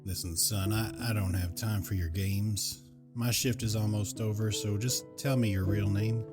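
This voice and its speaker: A man's voice: lowish voice, steady tone, normal speed, music in the background, natural voice. An actor playing a weary, impatient male police officer with a dry, folksy, matter-of-fact manner in an audio drama.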